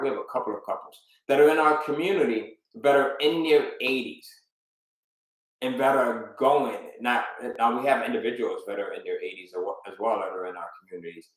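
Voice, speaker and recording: A man teaching a live online business webinar, speaking with energy and emphasis and asking his viewers frequent rhetorical questions. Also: fairly high pitch. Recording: very echoey room.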